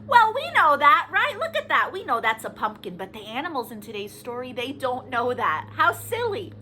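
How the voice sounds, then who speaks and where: A woman hosting story time for young children, animated and sing-song, talking to them with questions and encouragement. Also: sits high, normal speed, a little hiss or hum. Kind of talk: children's storytime read-aloud with singing and asides.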